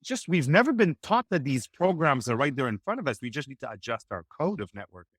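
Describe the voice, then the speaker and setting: A man whose first language is Hebrew, giving energetic, motivational networking advice as a podcast guest. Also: mid-range voice, brisk, no room sound, clean studio sound. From Israel. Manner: energetic, motivational, acts out quoted dialogue. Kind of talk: animated podcast interview.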